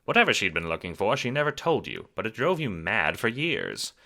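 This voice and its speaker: A man giving dramatic, wry scripted narration for an audio drama, theatrical and expressive. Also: on the low side, a little fast, creaky.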